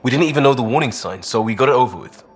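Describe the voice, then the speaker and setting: An actor playing a good-natured young crewmate in a space video game, talking informally and earnestly. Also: male voice, mid-range voice, animated, rapid-fire, breathy edge. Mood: explaining.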